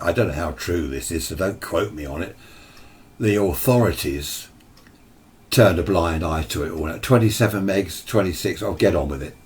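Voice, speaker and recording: A British man giving an informal, opinionated explainer in a conversational way, as a radio hobby presenter. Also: low-pitched. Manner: opinionated, conversational, mimics imagined replies. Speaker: amateur radio video presenter.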